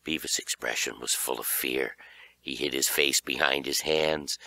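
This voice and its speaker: A man narrating a children's horror audiobook in an expressive storytelling style. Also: rumbling low, a little slow, creaky, dry recording.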